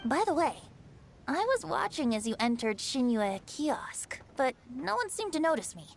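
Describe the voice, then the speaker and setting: A youthful, playful game wanderer in a high voice that lilts widely. The manner is teasing yet polite and self-effacing, with faint noise underneath. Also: female voice, big pitch swings, moderate pace, gravelly, heavily acted. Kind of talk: anime game voice acting.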